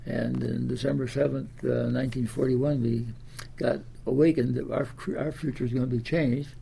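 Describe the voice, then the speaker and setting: A very old man from Iowa, ninety years of age, recalls his life in a gravelly, mellow voice. He rambles along with fillers and restarts. Faint room ambience sits behind him. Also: medium pitch, little pitch movement, normal speed, a little hiss or hum. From Des Moines, Iowa. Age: 90s. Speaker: interviewee. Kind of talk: oral history interview.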